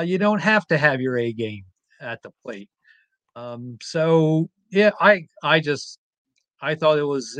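A man speaking as a guest host on a sports talk show over a remote call, relaxed and rambling with lots of fillers. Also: mid-range voice, no room sound.

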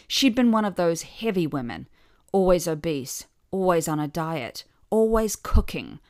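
A woman's voice: lowish voice, a bit bright, no echo. A middle-aged woman reading a scripted first-person story with wry, dry humour. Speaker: podcast author and narrator.

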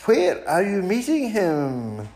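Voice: medium pitch, huge pitch swings, takes it slowly, a little mellow, a little hiss or hum, voice pushed up, exaggerated voice. A storyteller voicing a silly male owl in a children's story, with long hooting "ooh" sounds. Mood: eager hooting.

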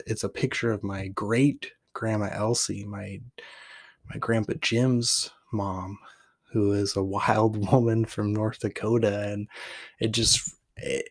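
Relaxed, low-voiced man musing on his roots. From Portland, Oregon. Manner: loose and reflective, with many fillers and restarts; reads his poem with emphatic rising lists. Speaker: podcast host and poet. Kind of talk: casual talk and poetry reading.

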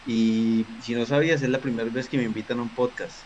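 A man's voice: medium pitch, fairly even intonation, fast talker, a little mellow, highs cut off, a little hiss or hum. A man with a Colombian accent from Cali, a podcast guest chatting casually in a friendly, slangy way over a remote call.

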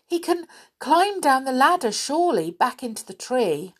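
An anxious young girl, as a woman reading aloud puts her on, high and urgent. She asks her questions in quick alarm in a storybook reading, breathy underneath. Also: big pitch swings, fairly bright tone, exaggerated voice.

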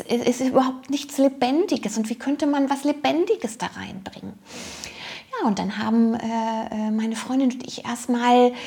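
Higher-pitched woman, hesitant storyteller. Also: gravelly, warm tone. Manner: hesitant, searching for words. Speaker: designer telling a personal story. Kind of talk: informal personal storytelling.

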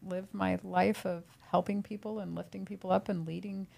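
A woman's voice: medium pitch, level tone, moderate pace, gravelly, a little mellow. A woman in her fifties, a personal trainer and gym owner, chatting in an upbeat, easygoing way in an interview. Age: 50s. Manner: upbeat and chatty, laughs easily. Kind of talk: casual interview chat.

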